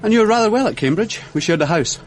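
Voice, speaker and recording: A young British man, playing a mild academic writer in a radio play. He sounds diffident and self-deprecating, with lively pitch movement and faint noise behind him. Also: fast. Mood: tentative. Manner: diffident, drily understated. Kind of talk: British radio drama acting.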